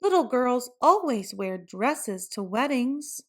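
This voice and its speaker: A woman's voice: fairly high pitch, very animated, slow, a bit bright, exaggerated voice. A woman voicing a caring but insistent, worried mother in a children's storybook. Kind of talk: children's storybook read-aloud.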